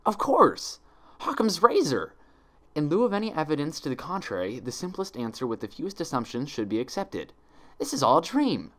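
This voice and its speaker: A man voicing an anxious, excitable, bookish young female pony scholar in a story reading. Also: a little high, normal speed, voice pushed up. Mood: excited realization. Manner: agitated, questioning herself.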